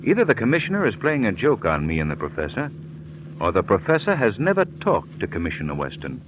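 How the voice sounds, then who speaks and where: A man playing an urbane, wry gentleman detective in an old radio drama, with polished, genial speech. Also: on the low side, huge pitch swings, quick pace, slight background noise. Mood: breezy, wry. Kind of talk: 1940s American radio acting. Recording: tinny old radio recording.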